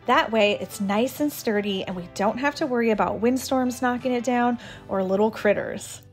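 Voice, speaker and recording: Enthusiastic woman vlogger in her thirties, casual chatty narration, soft music bed. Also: a little high, normal speed. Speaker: home renovation vlogger.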